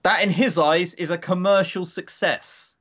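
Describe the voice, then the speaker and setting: A man's voice: high voice, fairly even intonation, a little slow, fairly bright tone. A man co-hosting a podcast in casual, opinionated chat, grumbling, sarcastic and given to swearing. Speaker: podcast co-host.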